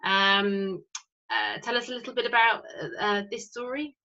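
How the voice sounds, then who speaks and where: A woman hosting a casual interview, with a very bright, forward voice. She feels her way through her questions, often rewording them midway. The recording is slightly dull and lo-fi. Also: on the high side, fairly even intonation, normal speed, creaky.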